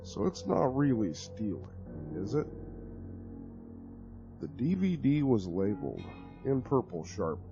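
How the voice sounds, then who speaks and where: A man giving a dramatic reading of a horror story, telling it in an expressive, conversational way. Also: fairly low pitch, unhurried, gravelly, long pauses, lo-fi sound, over music. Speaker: narrator.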